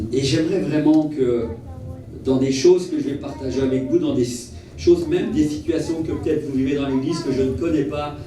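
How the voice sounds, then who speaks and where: A man preaching as a travelling evangelist, telling personal stories in an earnest, animated way and repeating phrases for emphasis. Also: a little high. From Portuguese family origin. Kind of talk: sermon with personal storytelling. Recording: echoey hall, distant mic, faint noise.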